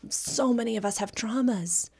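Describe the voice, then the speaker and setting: A woman in her thirties, a sex educator hosting a casual podcast chat, expressive and quick to act out what clients say, recorded on a studio mic. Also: a little high, gravelly, slightly rough. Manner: expressive, acts out client quotes, frequent "right?". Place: small studio with bookshelves and pink light. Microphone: studio mic on boom arm.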